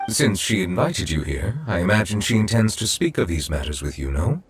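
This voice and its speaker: A man's voice: sits low, very animated, fairly quick, husky, heavily acted. An actor playing an ancient, dignified male chief judge in a video game, formal, stately and reserved. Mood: courteous. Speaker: game character voice. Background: faint background music.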